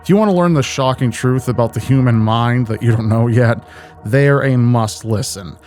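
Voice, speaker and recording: A man with a medium-pitched voice hosts a reaction video. His delivery is informal and jokey, rambling like casual commentary, with light music in the background. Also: moderate pace, a little mellow.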